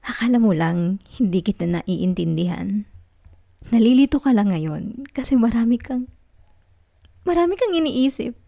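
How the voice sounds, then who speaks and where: A woman plays a possessive young Filipino girlfriend who refuses to let go. Her voice is soft and mellow, with a creaky fry in it, recorded very dry and close. She pleads tenderly in an intimate ASMR roleplay. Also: on the high side, expressive, measured pace, long pauses, dry recording. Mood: hurt, insisting. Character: clingy, possessive young student girlfriend in denial.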